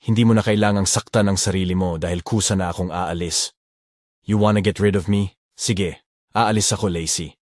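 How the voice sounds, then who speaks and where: A man voicing a young male bodyguard who speaks quietly and with resignation. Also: sits low, fairly even intonation, normal speed, no echo, studio quality, close to an everyday voice. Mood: resigned. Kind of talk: Tagalog audio drama acting.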